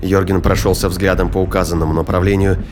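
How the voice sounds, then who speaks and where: Low-voiced male audio drama narrator. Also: level tone, quick pace, noisy background. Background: music.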